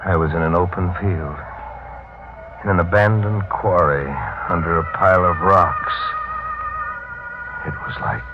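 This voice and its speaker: An actor playing a tormented amnesiac drifter in a 1940s radio drama, with a brooding, intense delivery. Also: male voice, low-pitched, a little slow, pauses often. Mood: grim narration. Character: tormented amnesiac drifter, a man haunted by a name. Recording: dull 1940s radio recording, music behind.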